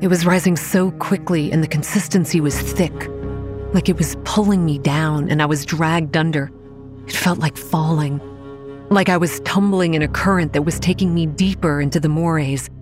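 A dazed young woman played by an actress tells her own horror story in an audio drama. Her delivery is measured, introspective and haunted, with faint music underneath. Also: deep voice, steady tone, lightly acted. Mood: tense, fearful. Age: young adult. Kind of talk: first-person horror drama narration.

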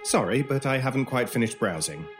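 An actor playing a cool, teasing, mysterious British stranger in a horror radio drama, with a measured, theatrical and wry way of talking. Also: male voice, mid-range voice, expressive, faint music behind, natural voice. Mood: dry, mocking. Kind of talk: old time radio style horror audio drama.

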